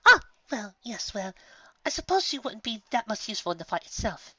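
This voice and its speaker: A man's voice: sits high, sing-song, brisk, sharp tone, breathy edge, dry recording, dull audio, voice pushed up, heavily acted. A man voicing a refined female pony commander, polite and diplomatic, with careful genteel phrasing. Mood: tactful.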